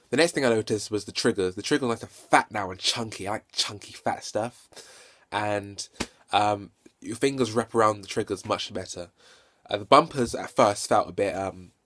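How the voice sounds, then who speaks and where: A man with a stutter giving an unscripted, enthusiastic game review, halting and often correcting himself, recorded on a handheld phone. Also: fairly low pitch, a bit bright. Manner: halting, self-correcting and repetitive. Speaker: video game reviewer and vlogger. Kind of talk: unscripted casual hands-on review.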